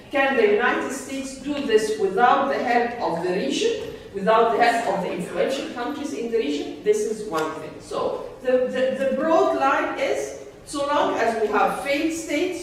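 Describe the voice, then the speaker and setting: A woman professor from Egypt giving an earnest public talk, pausing often with fillers and restarts. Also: a little high, a little hiss or hum. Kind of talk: public talk to a seated audience. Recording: echoey room voice from far off, faint ambience.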